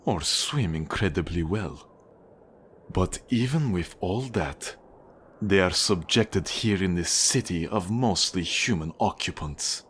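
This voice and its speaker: A man playing a cold, manipulative Russian mafia boss in an ASMR roleplay, with a Russian accent, a husky low voice and a measured, condescending, menacing delivery. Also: slight background noise.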